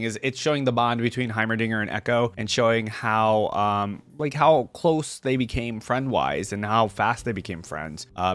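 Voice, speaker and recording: A man's voice: medium pitch, fairly bright tone. A man hosting a reaction video with casual, informal commentary, thinking aloud as he goes.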